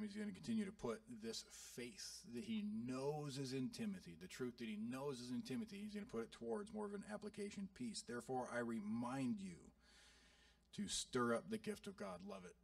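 A male pastor in his thirties giving an animated, joking sermon to his congregation. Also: fairly high pitch, normal speed, vocal fry, no room sound, recorded from a distance. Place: church sanctuary.

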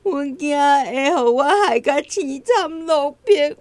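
A woman acting a flustered, anxious elderly Taiwanese grandmother in a radio drama, lamenting in a drawn-out way. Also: high voice, animated, darkish tone, dry recording. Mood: tearful wailing. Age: older.

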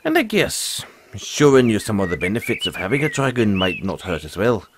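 A man voicing a friendly, philosophical dragon rider and gentle mentor in an audio roleplay, speaking in a folksy, earnest, musing way. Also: lowish voice, huge pitch swings, brisk, a little hiss or hum. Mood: thoughtful, persuading. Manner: folksy, earnest, musing, says "aye" and "lad". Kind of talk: audio roleplay addressed to the listener.

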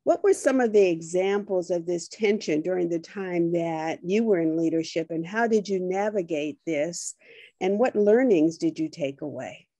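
A woman reads out panel questions on a video call in a mellow, somewhat low voice. The sound is dry and close, with no room around it. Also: moderate pace, dry recording. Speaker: panel moderator. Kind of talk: reading prepared questions.

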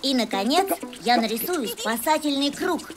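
A performer voicing a cheerful, helpful little boy rabbit who explains drawing clearly in a children's cartoon, in a friendly, instructive way. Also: high voice, animated, moderate pace. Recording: loud music behind.

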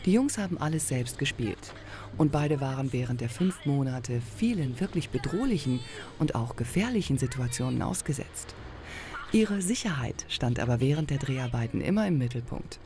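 A man reading scripted documentary narration in a calm, explanatory way. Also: medium pitch, normal speed.

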